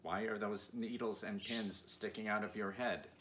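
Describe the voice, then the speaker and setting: A man's voice: lowish voice, fairly even intonation, normal speed, fairly bright tone, natural voice. A man voicing a kindly, earnest tin woodman from a storybook in a plain, polite, sincere manner. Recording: clear background noise.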